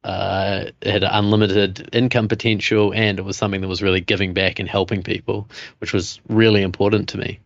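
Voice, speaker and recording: A man in his thirties with a New Zealand accent, telling his story as a podcast guest in a relaxed way with many fillers. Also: low voice, brisk, creaky, no room sound, muffled recording. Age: 30s. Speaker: guest, coach. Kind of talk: casual interview chat.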